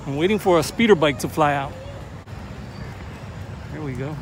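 A man's voice: on the high side, normal speed, background noise. A middle-aged man vlogging a casual walking tour, chatting enthusiastically as he walks, filmed on a handheld camera at arm's length outdoors. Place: outdoor theme park. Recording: outdoor phone video with crowd and music. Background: loud music and crowd.

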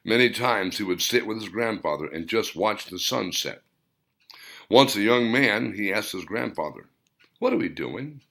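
Male author-narrator, low-pitched and very animated, dramatic audiobook delivery in deliberate short phrases. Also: gravelly. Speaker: author reading own novel.

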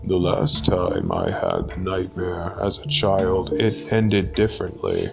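A man giving a dramatic scripted reading of a horror story, in a deliberate storytelling manner with pauses. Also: low-pitched, fairly even intonation, vocal fry, music in the background.

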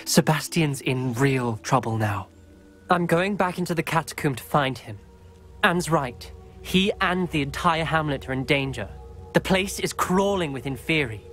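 A grave, worried schoolboy wizard. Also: male voice, on the high side, lively intonation, moderate pace, a bit bright, soft background music. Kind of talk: video game dialogue acting.

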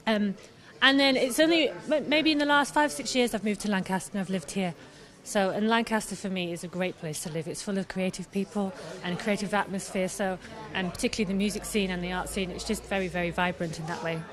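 A young woman from Scotland talks at an even middle pitch, eager and chatty, often pausing on ums and restarts. Her voice sits close on a handheld microphone in a busy pub. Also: a crowd in the background. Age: young adult. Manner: chatty, enthusiastic, many fillers. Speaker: artist guest. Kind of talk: live radio interview. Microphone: handheld mic held by host.

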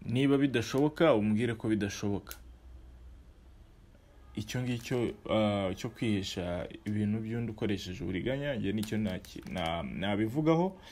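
A man in his thirties giving measured commentary to camera outdoors, with frequent hesitations and restarts. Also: fairly low pitch, darkish tone. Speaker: online channel presenter.

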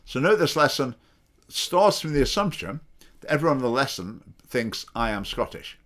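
A man's voice: medium pitch, big pitch swings, normal speed, fairly bright tone. Opinionated man talking to camera. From England. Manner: opinionated, sarcastic, mocking quoted voices. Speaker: political party spokesman. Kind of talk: commentary to camera. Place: home study with bookshelves. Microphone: webcam video call framing.

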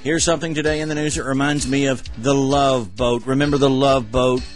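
A man's voice: medium pitch, fairly even intonation, a little fast, soft background music. A middle-aged man hosting an amateur news show, reading stories casually with sarcastic comic asides. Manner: sarcastic, wry. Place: small home room at a desk. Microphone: desk microphone close in front.

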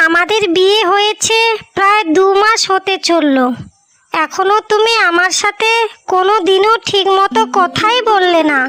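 A devoted, easily wounded young bride from a cartoon melodrama, played by a woman. Her voice is extremely high-pitched and sharp, plaintive and pleading. Faint music sits behind it. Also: full character voice. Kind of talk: melodramatic cartoon story dialogue. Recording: music bed under the voice.

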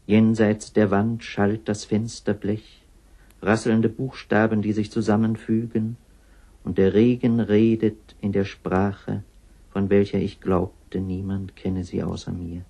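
An actor reciting poetry solemnly for radio as a sombre, troubled man with a gravelly voice. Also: on the low side, flat delivery, takes it slowly, warm tone, full character voice. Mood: brooding. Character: sombre, troubled poetic speaker.

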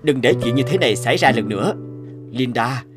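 A man's voice: mid-range voice, animated, brisk, close to an everyday voice. A man playing an earnest modern adult in cartoon dialogue. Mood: admonishing. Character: men settling a misunderstanding in a modern cartoon. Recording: loud guitar music over speech.

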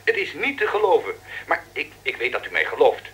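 An actor playing an eager, excitable field reporter in a radio drama, confiding down a telephone line. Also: male voice, medium pitch, brisk, slightly rough, exaggerated voice. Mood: excited.

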